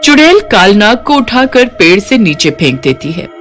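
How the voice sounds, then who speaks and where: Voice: medium pitch, very animated, normal speed, fairly bright tone. A storyteller narrates a scripted cartoon tale in the third person. Faint music plays behind the voice.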